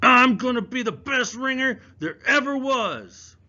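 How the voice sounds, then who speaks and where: A man voicing a jumpy, agitated young boy in an audiobook reading, his voice going shrill when he shouts. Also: big pitch swings, normal speed, exaggerated voice. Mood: furious. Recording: dull lo-fi recording.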